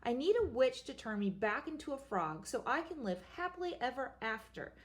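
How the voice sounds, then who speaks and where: A woman's voice: high voice, very animated, normal speed. A woman voicing a young male frog prince who pleads in a flustered rush and trips over his words. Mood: pleading.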